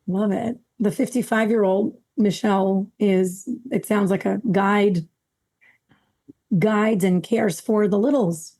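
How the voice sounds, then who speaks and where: A woman co-hosting a podcast, calm and curious, speaking in short reflective phrases. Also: medium pitch, takes it slowly. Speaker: podcast co-host. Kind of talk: casual conversation.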